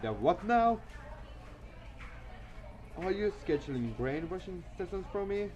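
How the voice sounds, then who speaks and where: A man's voice: high voice, big pitch swings, unhurried, pitched above the performer's own voice. A man voicing a cocky, sarcastic teenage schoolboy with a flippant manner in a visual novel game. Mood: incredulous. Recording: music behind.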